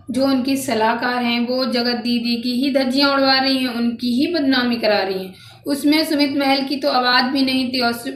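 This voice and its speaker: A woman giving informal gossip commentary to her viewers, speaking in a repetitive, emphatic and disapproving way. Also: fairly high pitch. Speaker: social media commentator. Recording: roomy echo, distant mic, background noise.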